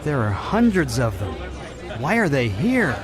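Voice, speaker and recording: An actor playing an irritable, uneasy, world-weary carnival boss, gruffly impatient and dramatic, in an audio drama. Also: male voice, medium pitch, huge pitch swings, measured pace, a crowd in the background. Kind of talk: audio drama acting with narration.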